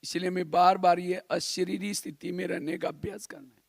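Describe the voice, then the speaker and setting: High-pitched male spiritual teacher. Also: flat delivery, moderate pace, gravelly, no room sound, clean studio sound. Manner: didactic, repeats phrases and poses rhetorical questions. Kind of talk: spiritual class discourse.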